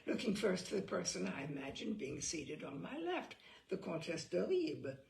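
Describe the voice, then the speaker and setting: A woman host reading a humorous essay aloud in a wry, lively storytelling manner. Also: mid-range voice, creaky. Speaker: host and reader. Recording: echoey room.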